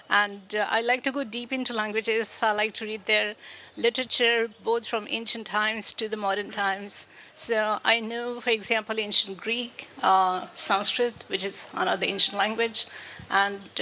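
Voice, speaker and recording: Female author on a festival panel, thoughtful, halting delivery, bright tone. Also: fairly high pitch, low-quality recording. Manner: reflective, many fillers.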